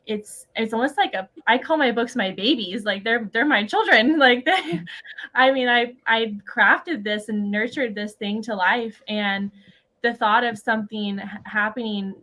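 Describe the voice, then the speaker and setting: A woman speaking as a mentor and author in a casual interview, talking in an effusive, expressive way. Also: fairly high pitch, measured pace.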